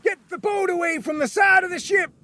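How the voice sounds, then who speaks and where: A man voicing a loud, commanding sea captain. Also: high voice, animated, a little slow, slight background noise, pitched above the performer's own voice. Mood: shouting orders. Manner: shouting orders over a storm.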